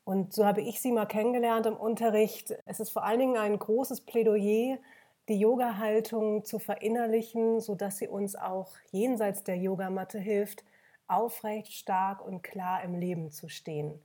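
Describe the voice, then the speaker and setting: A woman's voice: medium pitch, steady tone, normal speed, mellow. A woman hosting an interview podcast, speaking formally and reading a polished introduction. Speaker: podcast host.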